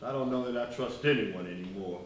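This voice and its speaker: A man acting in a stage play as an embattled activist leader, earnest, wry and weary, with a deliberate theatrical delivery. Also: mid-range voice, natural voice. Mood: weary, resolute. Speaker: stage actor. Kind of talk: live one-act stage play acting. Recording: very echoey stage, distant mic, faint noise.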